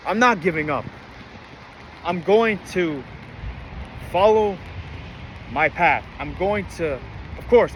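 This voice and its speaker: A man's voice: fairly high pitch, background noise. A young man of about nineteen, rambling earnestly to the camera in a casual monologue, with many fillers and restarts, recorded outdoors in the rain. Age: late teens. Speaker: vlogger.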